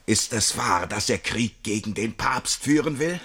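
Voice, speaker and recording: A man's voice: on the low side, lively intonation, unhurried, a bit bright, slightly rough, full character voice. A man playing a servile, tormented zealot who hears voices, in a German radio play. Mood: brooding. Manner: servile yet feverishly visionary. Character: fanatical, tormented zealot, servile would-be assassin hearing voices.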